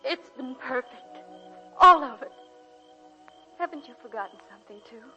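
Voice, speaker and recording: A woman's voice: sits high, expressive, normal speed, highs cut off. An actress playing an earnest, romantic woman college teacher in a 1940s radio drama. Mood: tender farewell. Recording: vintage 1940s radio, faint music.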